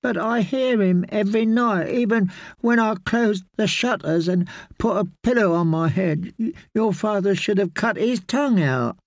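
A man's voice: high-pitched, no echo, voice pushed up. A man voicing a sulky, sickly little boy lord who whines and draws out his complaints. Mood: whining. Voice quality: whiny, drawn-out complaining.

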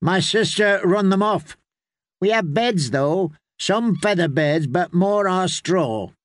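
Man voicing a weary, blunt young girl, high-pitched. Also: expressive, slow. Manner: blunt, questioning, demanding. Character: weary, blunt, wary ten-year-old innkeeper girl.

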